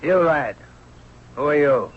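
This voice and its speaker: Stern, imperious high official. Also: male voice, lively intonation, slow pace. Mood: stern, menacing. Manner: formal, commanding. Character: stern, imperious prime minister and father. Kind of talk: old-time radio drama acting. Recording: muffled radio recording.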